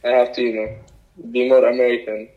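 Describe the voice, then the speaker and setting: A young man with an Arabic accent, a native Arabic speaker, answering interview questions in a halting way with many fillers. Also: mid-range voice, little pitch movement. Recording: dull lo-fi recording.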